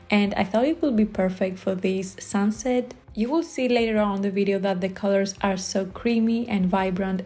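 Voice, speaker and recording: A woman's voice: medium pitch, mellow. A young woman from Greece with a Greek accent, narrating a watercolor tutorial in a friendly, enthusiastic, chatty way. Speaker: watercolor art instructor. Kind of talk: voice-over painting tutorial narration.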